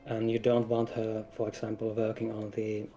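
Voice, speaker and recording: A man's voice: on the low side, monotone, moderate pace, warm tone. A man speaking casually as a guest game developer, explaining things in an informal chat. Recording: some background noise.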